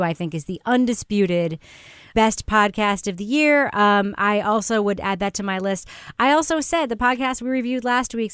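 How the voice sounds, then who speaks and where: A woman's voice: medium pitch, clear, resonant tone, no room sound, clean studio sound. A woman hosting a conversational podcast, giving recommendations in an emphatic, enthusiastic way. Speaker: podcast host and moderator.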